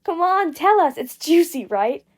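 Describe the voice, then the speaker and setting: A woman's voice: extremely high pitch, sing-song, measured pace, voice pushed up, exaggerated voice. A woman voicing a pouty, pestering teenage boy who wheedles, in an audio story. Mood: wheedling. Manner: pouting, wheedling.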